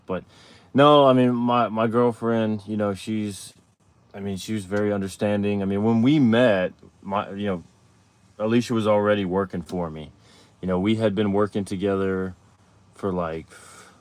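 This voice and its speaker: Man, 30s, lowish voice; rambling livestream host, easygoing, lots of restarts. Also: fairly even intonation, moderate pace, smooth, no room sound. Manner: rambling, restarts often, reads viewer questions aloud. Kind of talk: casual livestream chat.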